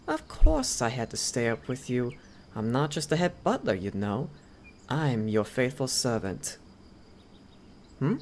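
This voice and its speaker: A woman's voice: sits low, darkish tone, a little hiss or hum, heavily acted. A woman voicing a devoted male head butler in an ASMR roleplay, speaking politely and formally to a noble lady. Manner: polite, deferential, formal servant speech. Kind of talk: ASMR roleplay, scripted character acting.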